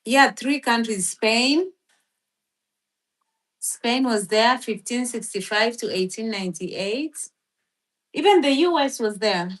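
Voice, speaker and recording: A woman's voice: fairly high pitch, expressive, takes it slowly, long pauses, a bit bright. A woman chatting casually on a live stream, rambling with lots of fillers as she thinks aloud and reads from a search. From Philippines. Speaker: livestream host.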